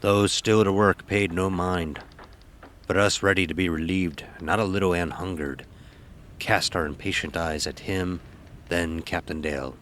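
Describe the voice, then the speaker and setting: A man's voice: low-pitched, steady tone, a little slow, a bit bright, slight background noise. A man narrating a scripted horror story as an old-time sailor, grave and dramatic, with archaic nautical diction.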